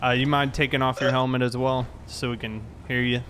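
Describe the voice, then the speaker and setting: A man playing a calm, fair-minded police officer in improvised online roleplay, speaking politely and a little hesitantly. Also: mid-range voice, brisk, natural voice. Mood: calm, procedural. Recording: faint background noise, game vehicle sounds.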